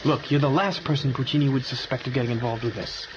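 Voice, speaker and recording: A man's voice: medium pitch, fast, lightly acted. An actor playing a streetwise tough guy with an American accent, clipped, slangy and matter-of-fact, in a radio drama. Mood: grave warning. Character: terse, streetwise tough guy warning of gangsters. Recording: muffled radio recording with clear background noise.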